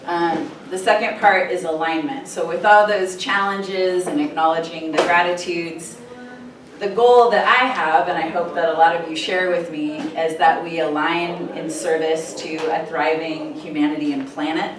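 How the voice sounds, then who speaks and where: A woman with a low voice addresses a conference crowd. She projects out to the room with an earnest, engaging manner. Her voice echoes around a large hall, picked up from far off with constant room noise. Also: fairly even intonation, normal speed. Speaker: conference presenter, program manager. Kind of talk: conference presentation.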